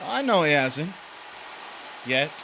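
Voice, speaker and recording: A man's voice: a little high, sing-song, slow, gravelly, background noise, full character voice. An actor playing a cocky drifter in a stage drama, needling and flirting with a married woman. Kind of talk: stage drama performed online, with first-person narration.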